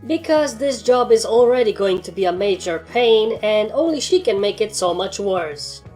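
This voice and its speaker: A woman's voice: medium pitch, lively intonation, normal speed, a little mellow, soft background music, close to an everyday voice. A woman voicing a blunt, battle-hardened pony trainer in a story, with a dry, sarcastic way of talking. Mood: dry, grumbling.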